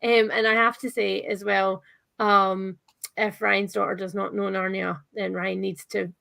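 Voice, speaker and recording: A woman's voice: medium pitch, little pitch movement, measured pace, gravelly, bright, forceful tone, no room sound. A woman hosting a casual live book chat, interviewing an author in a gushing, playful, bantering way.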